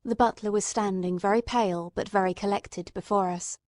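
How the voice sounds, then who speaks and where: A woman's voice: mid-range voice, steady tone, a little fast, a little mellow, no room sound. A woman reading scripted first-person narration from a classic story.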